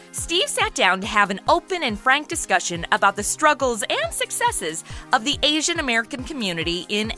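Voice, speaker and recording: A woman's voice: fairly high pitch, bright, forceful tone. A woman host giving an upbeat scripted introduction to a podcast episode, with music behind her.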